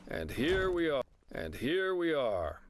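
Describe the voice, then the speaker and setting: An actor playing a chatty, wry, easygoing adventurer in a fantasy game, explaining things to a newcomer in a casual, jokey manner. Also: male voice, on the high side, sing-song, takes it slowly, slight background noise. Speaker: travelling companion and guide character. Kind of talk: scripted fantasy game dialogue.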